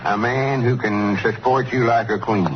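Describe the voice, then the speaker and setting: An actor playing a scheming, self-important country storekeeper with a rural Southern US drawl in old-time radio comedy. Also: male voice, mid-range voice, fairly even intonation, a little slow, lightly acted. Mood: grandly reciting. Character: scheming folksy rural storekeeper, man, pompous salesman. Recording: muffled, noisy vintage radio recording.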